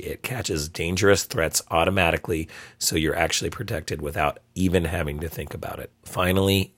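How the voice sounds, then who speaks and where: A man hosting a solo podcast, sounding hoarse from a cold, talking casually with frequent ums. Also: deep voice, fairly bright tone, no echo. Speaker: podcast host. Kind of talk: solo tech podcast talk.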